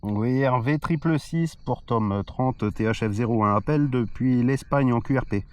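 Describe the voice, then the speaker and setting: A man's voice: medium pitch, measured pace. A man who vlogs as an amateur CB radio operator, talking casually and calling with repeated phrases and spelled-out call signs. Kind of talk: casual outdoor vlog and radio calls. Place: outdoors on a hillside by the sea. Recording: loud radio static and hiss.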